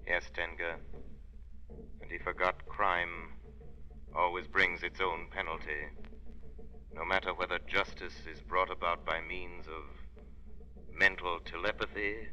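An actor playing a grave, commanding crime-fighting avenger in an old-time radio drama, speaking solemnly and deliberately. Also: male voice, low-pitched, flat delivery, pushed and bright, full character voice. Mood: solemn, moralizing. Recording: muffled, noisy vintage radio recording.

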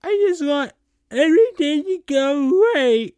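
Weepy teen cartoon girl: shrill high pitch, whiny, halting, melodramatic. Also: animated, mellow, no room sound, clean studio sound. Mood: tearful, distraught. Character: weepy, dramatic teenage cartoon girl in mourning.

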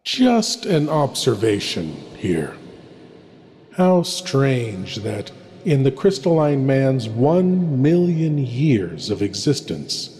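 A man playing a droll, ominous horror host lord, narrating theatrically with deliberate, wry delivery. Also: mid-range voice, sing-song, darkish tone, a little hiss or hum. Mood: musing, ominous. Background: faint music.

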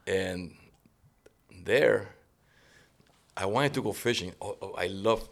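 A man, a guest telling fishing stories in a relaxed way with frequent restarts. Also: low-pitched, slow pace, creaky. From Cuba. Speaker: guest angler. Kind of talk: casual podcast interview.

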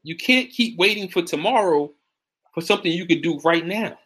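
Bright-voiced man in his forties. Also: high-pitched, normal speed, a little hiss or hum. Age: 40s. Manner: animated, repeats words, gives shout-outs. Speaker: fitness coach and live stream host. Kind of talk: casual live stream chat.

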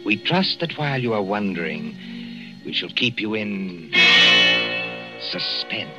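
An old, muffled radio recording with music behind a man with a deep voice. He narrates a suspense drama slowly and ominously, in dramatic 1940s announcer style. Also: sing-song.

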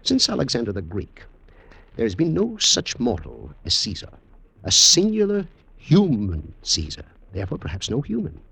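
An actor playing a troubled, pondering ancient Roman in a 1950s radio drama, with stagey, deliberate archaic phrasing. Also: male voice, mid-range voice, wildly swooping pitch, gravelly, a bit bright, slightly rough, no echo, exaggerated voice, low-quality recording. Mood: earnest, persuading.

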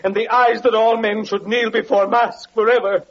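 An actor playing a bitter, lame, evil-faced wanderer with mocking, archaic declamation in an old-time radio drama. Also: male voice, sits high, level tone, normal speed. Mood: anguished raging. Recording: muffled, noisy vintage radio recording.

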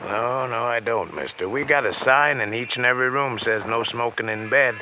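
An actor playing a cagey, droll hotel desk clerk with a gravelly voice and folksy deadpan manner, in an old radio crime drama. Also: male voice, mid-range voice, moderate pace. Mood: stubborn deadpan. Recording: muffled, noisy old radio recording.

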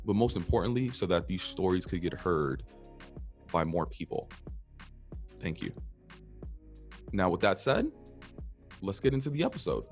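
A man with a low voice hosts a podcast. His delivery is casual and conversational, full of informal address. Music sits faintly behind him. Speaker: podcast host.

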